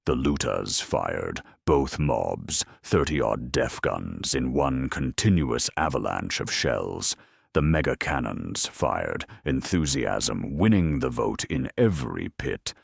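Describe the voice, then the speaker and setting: An extremely low-voiced man delivers dramatic scripted narration of a grim battle tale. His voice is thick with gravel and creak, and he takes his time, pausing heavily between phrases in a dry, close recording. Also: very animated, dry recording. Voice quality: very deep gravelly growl. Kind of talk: dramatic scripted narration of a grimdark war story.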